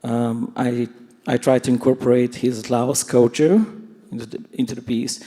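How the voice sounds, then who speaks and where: A man in his sixties whose first language is Portuguese talks after a performance, halting and searching for words but openly heartfelt. His voice is dark and mellow. A long reverb from a theatre hall hangs on every word. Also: mid-range voice, flat delivery. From Brazil. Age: 60s. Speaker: choreographer and dancer. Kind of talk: post-show talkback.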